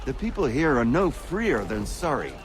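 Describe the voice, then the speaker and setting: A man's voice: medium pitch, big pitch swings, normal speed, close to an everyday voice. Man playing a rhetorical colonial patriot over music. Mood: defensive, firm. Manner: formal, rhetorical. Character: fervent colonial American patriot politician, scheming and persuasive. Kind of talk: dramatic game acting in period speech. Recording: music behind the voice.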